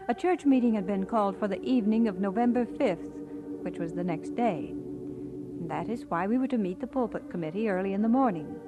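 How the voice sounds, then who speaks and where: An actress playing a devoted wife who speaks and narrates gently and reflectively in a scripted radio drama. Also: female voice, medium pitch, brisk, over music, natural voice. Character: devoted young wife, gentle, recalling her marriage.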